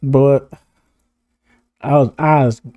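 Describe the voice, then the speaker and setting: A hoarse man of about thirty, talking casually to camera in a rambling, slang-heavy way and laughing often. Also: medium pitch, steady tone, slow, no room sound, narrow, tinny audio. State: hoarse, losing his voice. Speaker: video creator. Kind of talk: casual reminiscing monologue to camera.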